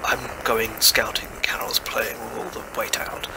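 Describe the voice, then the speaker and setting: A man's voice: lowish voice, monotone, measured pace, creaky, in a whisper, poor audio. A man playing a driven, zealous scientist-explorer in an audio drama, earnest and intense. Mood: excited, reporting. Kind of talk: dramatic audio play acting.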